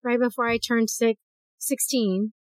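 Host, woman, 40s, animated storyteller, slightly high voice, dry close sound. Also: a little slow, dry recording. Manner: animated storyteller, acts out quotes. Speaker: podcast host. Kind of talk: casual chat.

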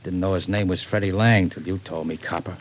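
An actor playing a surly, sardonic American small-time crook in an old-time radio crime drama, talking in tough slang. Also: male voice, deep voice, fairly even intonation, a little fast, lightly acted. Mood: gruff, guarded. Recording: noisy vintage radio recording.

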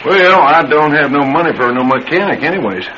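An actor playing a stranded drifter, polite but halting and evasive, in an old-time radio drama. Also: male voice, fairly high pitch, lively intonation, lightly acted. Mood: resigned. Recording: very noisy, muffled old radio recording.

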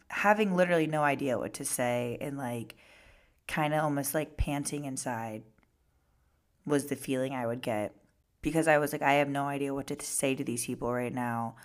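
A woman in her twenties hosting her own podcast, talking casually with a raspy, hoarse voice and many "like" fillers. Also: low voice. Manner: chatty, lots of "like" fillers. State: hoarse from singing and screaming. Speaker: podcast host. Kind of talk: casual solo podcast talk.

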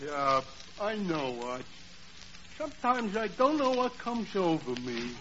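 An actor playing a slow-witted, simple bar regular with a dopey, halting delivery in a 1940s radio comedy. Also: male voice, high-pitched, big pitch swings, full character voice. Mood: dim, wondering. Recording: dull, hissy 1940s radio recording.

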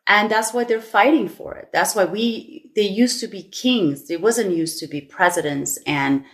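A woman's voice: medium pitch, normal speed. A woman from Iran with a Persian accent, speaking as a guest in an earnest, impassioned way with many restarts. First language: Farsi. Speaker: salon owner and guest. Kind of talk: interview chat.

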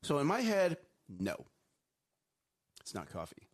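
A man co-hosts a casual live morning show. His voice sits fairly high for a man, and his pitch swings widely as he gets excited. He jokes a lot and breaks into laughter often. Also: takes it slowly. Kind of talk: casual live-stream chat.

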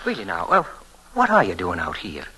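An actor playing a cocky, unstable young murderer in an old-time radio thriller, insinuating and halting, repeating himself and swinging from soft to frantic. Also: male voice, low voice, wildly swooping pitch, husky, full character voice, rough recording. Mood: sly, probing. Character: cocky, unstable young murderer, a former hotel page boy.

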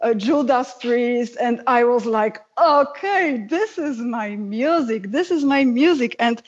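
A woman's voice: a little high, lively intonation, slow pace, dull audio. A woman with a Polish accent, a guest musician telling her story in a casual, chatty interview. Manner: chatty storyteller, laughs easily.

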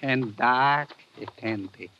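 A man's voice: mid-range voice, takes it slowly, lightly acted. An actor playing a coldly polite, menacing henchman with formal, courteous speech in an old radio drama. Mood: polite menace. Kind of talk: 1950s radio drama acting. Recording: dull, noisy old radio recording.

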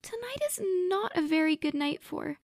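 A man's voice: very high voice, steady tone, moderate pace, gravelly, fairly bright tone, no echo, clean studio sound, full character voice. A man reading a story and voicing a frightened young woman performer who stammers and pleads.

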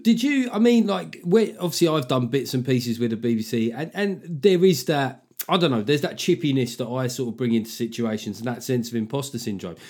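A man's voice: mid-range voice, expressive, fairly quick, few pauses, darkish tone. A man with a London accent hosting a casual podcast interview, asking thoughtful, probing questions with loose restarts. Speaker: podcast host.

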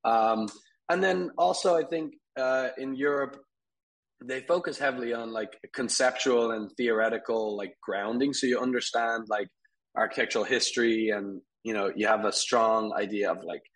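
A man in his thirties, from Ireland, talking casually as an interview guest, rambling with fillers and soft laughs. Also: mid-range voice, moderate pace, poor audio. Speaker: guest, furniture company CEO.

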